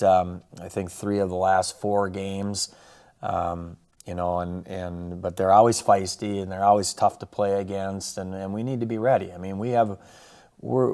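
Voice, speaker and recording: An American man, a college football coach, talking through a game preview in a halting, conversational way. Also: deep voice, a little mellow.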